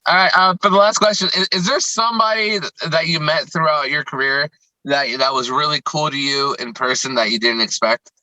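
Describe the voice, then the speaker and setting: A man's voice: on the high side, fairly quick, bright, forceful tone, no room sound, low-quality recording. A man hosting a music interview in casual, slangy chat, enthusiastic and often repeating himself. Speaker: music show host interviewing.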